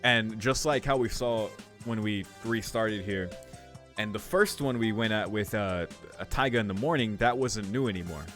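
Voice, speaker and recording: Young male game streamer, lowish voice, informal and musing, reads text aloud, light music behind. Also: moderate pace, fairly bright tone. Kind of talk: casual playthrough commentary mixed with reading game text aloud.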